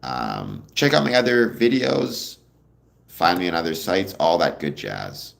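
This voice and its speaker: A young man hosting a casual livestream, answering viewer comments in a relaxed, rambling way with a deep voice. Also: unhurried, vocal fry. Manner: relaxed, rambling, many ums, answers chat questions. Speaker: livestream host.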